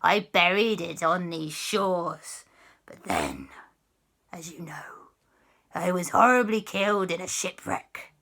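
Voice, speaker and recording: Woman putting on a blustering, slow ghost pirate captain. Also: lowish voice, lively intonation, fairly bright tone, exaggerated voice. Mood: gloating. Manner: archaic pirate speech, drawn-out menacing delivery.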